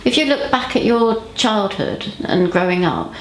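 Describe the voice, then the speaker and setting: A woman interviewer asking slow, soft follow-up questions. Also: medium pitch, big pitch swings. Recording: echoey room with faint noise.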